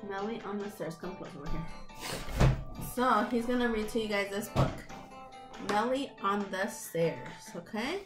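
A woman's voice: mid-range voice, animated, unhurried, roomy sound, over loud music. A young woman speaking as a teacher, guiding a children's read-along with simple, encouraging prompts. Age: young adult.

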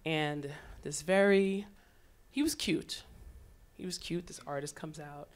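Panel moderator, woman, 40s, from Trinidad, lecture hall mic, talkative and lively storyteller. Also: low-pitched, slow. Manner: chatty, storytelling, animated laughter. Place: lecture hall on a small stage.

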